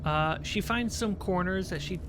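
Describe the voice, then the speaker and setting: A game master narrating a tabletop game in a deliberate, halting way, pausing while setting the scene. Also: medium pitch. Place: online livestream video call. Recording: clearly noisy background.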